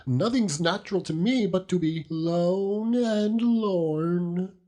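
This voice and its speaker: Man doing a high, whining old widow. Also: takes it slowly, warm tone. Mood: mournful, fretful. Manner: whining, mournful complaining. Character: fretful, self-pitying old widow woman. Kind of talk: audiobook character acting.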